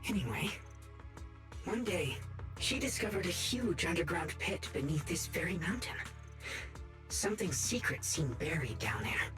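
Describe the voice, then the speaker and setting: A man voicing a gentle, kind male bamboo being who tells a story in a calm, measured way in game dialogue, with music under it. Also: on the high side, full character voice. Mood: somber.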